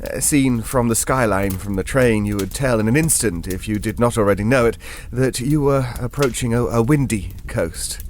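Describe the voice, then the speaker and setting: A man's voice: medium pitch, moderate pace, hardly any pauses, a little hiss or hum, close to an everyday voice. An actor as a hesitant, genteel older Englishman. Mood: fond, nostalgic. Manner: hesitant, digressive, politely formal, wistful. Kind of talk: audio drama monologue, conversational ghost-story telling.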